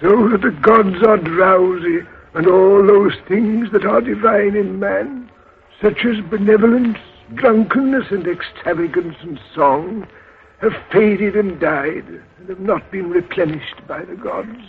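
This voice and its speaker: A man's voice: high-pitched, a little slow, vocal fry. A man playing a solemn male beggar who laments the city's troubles at length, in 1930s radio drama acting. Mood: lamenting. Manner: mournful, elaborate lamenting. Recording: tinny 1930s radio with faint hiss.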